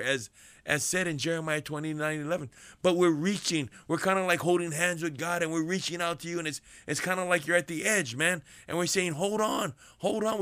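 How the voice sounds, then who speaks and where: A man preaching a fervent radio sermon to people in prison, repeating phrases and swinging between high urgent calls and low hushed passages. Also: no room sound. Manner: fervent, repetitive preaching with big pitch swings.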